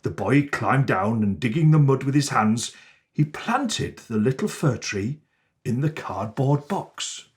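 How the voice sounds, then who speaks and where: Casting: male Father Christmas storyteller, very unhurried, theatrical, with widely varied intonation for children. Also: medium pitch. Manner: theatrical, deliberate, expressive phrasing.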